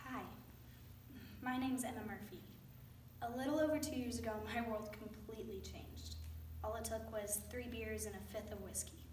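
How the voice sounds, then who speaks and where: A woman's voice: a little high, fairly even intonation, moderate pace, a little mellow, lightly acted. A young woman playing a troubled, isolated teenage daughter of a drunk driver in a readers theater monologue. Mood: somber. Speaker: student readers theater performer. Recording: echoey room from far off, faint noise.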